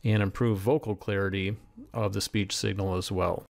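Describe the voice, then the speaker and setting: Male instructor for screen tutorial: methodical, explanatory, gravelly fry, lower register. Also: steady tone, moderate pace, a little mellow. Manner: methodical, step by step. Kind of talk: explanatory software demonstration. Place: voice-over screen recording.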